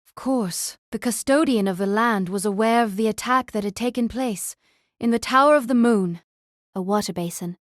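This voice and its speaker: A woman's voice: a little high, normal speed, no echo, studio quality. A woman reading scripted story narration as an audiobook narrator.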